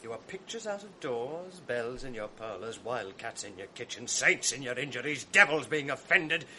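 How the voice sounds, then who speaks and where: An actor playing a scheming, sardonic male ensign in a 1980s radio drama, mocking and sly, sinking to a hushed mutter when plotting. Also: medium pitch, animated, normal speed.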